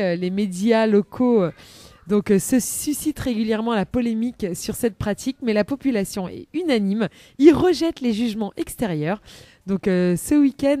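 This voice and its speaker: A woman presenting a chatty live radio magazine segment in a bubbly, playful and expressive manner. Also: on the high side, no echo.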